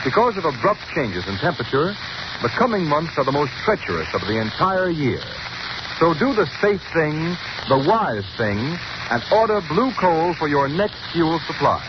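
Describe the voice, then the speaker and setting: A man as radio announcer, reading a commercial and introduction in an emphatic, persuasive 1930s style. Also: a little high. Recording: dull old radio broadcast with hiss.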